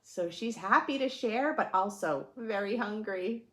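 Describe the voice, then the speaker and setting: A woman reading a children's storybook aloud in a dramatic, playful teacher's way, pausing to put questions to the listener. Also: medium pitch, animated, a bit bright. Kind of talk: expressive storybook read-aloud with teaching asides.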